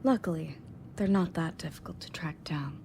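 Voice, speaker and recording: A woman's voice: deep voice, normal speed, darkish tone. A woman playing a confident, matter-of-fact rescue agent in an audio roleplay, speaking conversationally to the listener. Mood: casual, wry. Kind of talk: scripted audio roleplay, in-character monologue to listener. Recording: clearly audible background noise and ambience.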